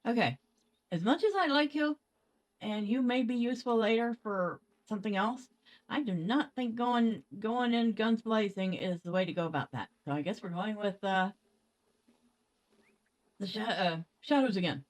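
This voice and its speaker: A woman's voice: medium pitch, normal speed. A woman hosting a casual let's play, thinking aloud playfully as she goes. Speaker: gaming video host.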